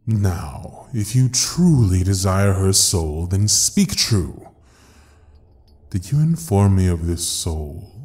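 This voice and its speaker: An actor playing an ancient devil who rules hell, taunting and theatrical in an audio roleplay with a drawn-out delivery. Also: male voice, deep voice, expressive, a little mellow. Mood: menacing interrogation. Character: ancient, mocking male devil ruling hell. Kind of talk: dramatic audio roleplay acting.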